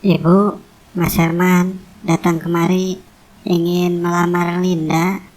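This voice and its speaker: A woman playing a devoted, soft-hearted young woman in love in a cartoon drama, speaking in an earnest, pleading way. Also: fairly low pitch, level tone, takes it slowly. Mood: polite, hopeful.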